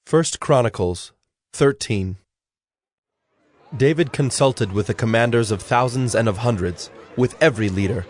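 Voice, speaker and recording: A man narrating dramatized scripture in a solemn, measured, formal way. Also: mid-range voice. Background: music.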